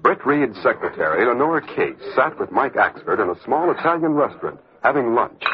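A man's voice: mid-range voice, very animated, moderate pace. A man announcing and narrating an old-time radio thriller in a declamatory, urgent, scripted style. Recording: muffled old radio recording.

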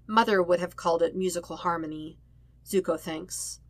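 Low-pitched woman reading a story, close and dry. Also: normal speed, dry recording. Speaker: podfic reader of fan fiction. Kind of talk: scripted story narration.

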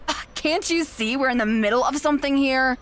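A woman's voice: high-pitched, brisk, a bit bright. A woman playing a cold, condescending ex-fiancée. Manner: condescending, dismissive. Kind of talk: audio drama acting.

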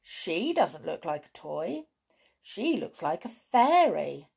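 A woman voicing a brisk, helpful male brownie, a bearded woodland sprite from a children's storybook. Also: fairly low pitch, sing-song, creaky, a little mellow, slightly rough, heavily acted. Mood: curious. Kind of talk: children's storybook read aloud.

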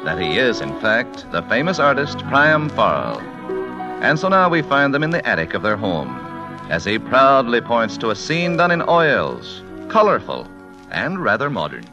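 A man's voice: medium pitch, very animated, normal speed, muffled recording. A man working as a 1940s radio announcer, formal and emphatic, on a vintage recording. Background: music.

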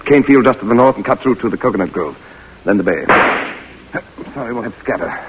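An actor playing a world-weary English soldier of fortune with an English accent, clipped and nonchalant, in 1940s radio drama style. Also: male voice, lowish voice, lively intonation, fast talker, noisy background, natural voice. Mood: brisk, nonchalant.

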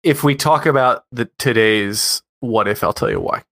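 A man's voice: medium pitch, moderate pace, fairly bright tone. A man co-hosting a podcast, explaining things in casual fan chat. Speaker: podcast co-host.

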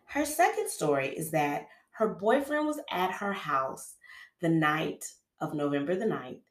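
Podcast host with a cold, a woman with a lowish voice, casual true-crime storytelling in an echoey room. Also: big pitch swings, unhurried. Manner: conversational, with frequent fillers and restarts. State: has a cold, sniffling. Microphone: podcast microphone. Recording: roomy echo.

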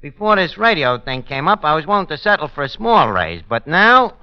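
A man's voice: a little high, very animated, a little fast, clear, resonant tone. An actor playing a brash New York barman who mangles his grammar, in 1940s radio comedy style. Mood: cocky. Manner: mangles grammar and big words. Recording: muffled old radio broadcast.

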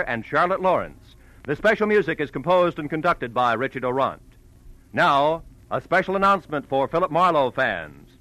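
Bright, forceful American radio announcer. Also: male voice, on the high side, no echo, poor audio. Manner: formal, measured, polished. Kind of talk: 1940s network radio announcing. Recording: vintage radio recording with faint music.

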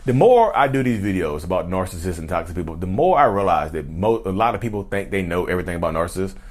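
A man's voice: low voice, lively intonation, fast. A man talking casually to camera, chatty and rambling, who keeps calling his viewers y'all and wanders off into sidebars. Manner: repeats and restarts, drifts into sidebars. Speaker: YouTuber talking about narcissism. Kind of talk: casual direct-to-camera talk.

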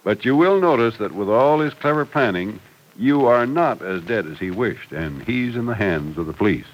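A man's voice: on the low side, animated, normal speed. An actor playing a kindly, fatherly confidant in a 1940s radio soap opera, thoughtful and soothing in manner. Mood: musing, probing. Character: kindly, fatherly male confidant, calm and reassuring. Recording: noisy old radio broadcast recording.

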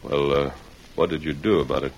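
An actor playing a calm, authoritative masked Western hero with an American accent and measured, formal delivery, in old radio drama style. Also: male voice, extremely low pitch. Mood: calm, inquiring. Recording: dull, husky-sounding vintage radio recording.

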